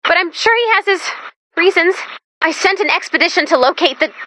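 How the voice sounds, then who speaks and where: A woman voicing a perky, anxious robot assistant who guides players in a video game, chirpy, expressive and dryly sarcastic. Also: very high-pitched, a little fast, noisy background. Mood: worried. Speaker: mission guide and announcer. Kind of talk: animated video game voice acting.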